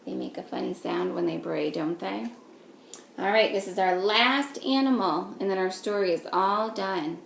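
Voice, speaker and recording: A woman teacher reading a picture book aloud to young children, patiently, in a sing-song way, pausing to ask them simple questions. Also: mid-range voice, big pitch swings, gravelly. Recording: echoey room with faint noise.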